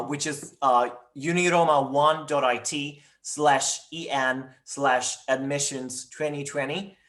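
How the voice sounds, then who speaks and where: A man presenting university admissions information in a webinar over a video call, speaking in a measured way with frequent ums and uhs. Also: mid-range voice, fairly bright tone.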